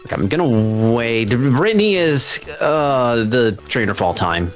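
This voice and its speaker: A man's voice: mid-range voice, very animated, measured pace, faint music behind. Man casually narrating his own gameplay. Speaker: speedrunner. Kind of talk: casual play-by-play while gaming.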